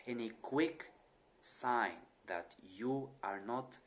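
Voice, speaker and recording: A man's voice: mid-range voice, takes it slowly. A young man talking earnestly to camera, sharing his own experience, with small repetitions and restarts in his speech. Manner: earnest, reflective, with occasional stutter repetitions. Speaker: channel host. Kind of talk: personal talk to camera.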